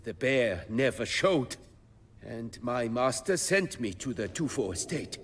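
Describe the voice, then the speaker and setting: Slow, expressive, formal craftsman. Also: medium pitch, slightly rough, full character voice. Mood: measured, recounting. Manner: measured, formal, storytelling. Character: proud male master armorer, formal and wistful storyteller. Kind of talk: video game dialogue. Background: music.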